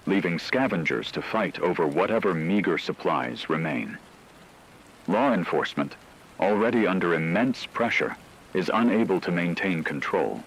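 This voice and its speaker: A man playing a solemn radio news correspondent, delivering measured, formal scripted reports on an apocalyptic disaster. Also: deep voice, fairly bright tone, slight background noise, exaggerated voice, low-quality recording. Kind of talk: scripted dramatic news narration.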